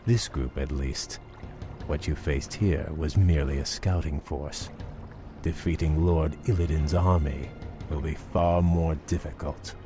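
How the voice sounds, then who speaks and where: Elf prince: very deep, unhurried, proud and taunting, fantasy video game delivery over music. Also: male voice, fairly even intonation. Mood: smug, vengeful. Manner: measured, taunting. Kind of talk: video game cutscene acting.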